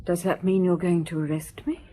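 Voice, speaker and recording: An actress playing a wealthy wife accused of murder in a radio drama, haughty and defensive. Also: female voice, lowish voice, flat delivery, a little fast, warm tone, natural voice. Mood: haughty defiance. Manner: haughty, then frightened. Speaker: suspect. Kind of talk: 1970s BBC radio drama acting.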